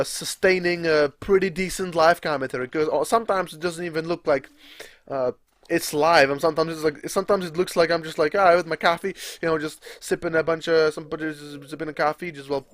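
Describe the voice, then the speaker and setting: A young man with a Czech accent rambles on in a casual, self-mocking way, swearing as he goes. His voice sits a bit high for a man, and the sound is very dry and close to the mic. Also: no echo. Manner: chatty, swears freely, laughs at himself. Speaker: gaming video creator. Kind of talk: casual rambling live commentary.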